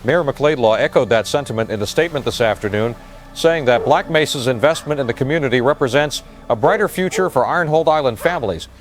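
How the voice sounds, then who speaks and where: Male field correspondent, played by an actor: matter-of-fact live report, slight hesitations, average pitch. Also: fast, soft background music, natural voice. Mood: calm, matter-of-fact.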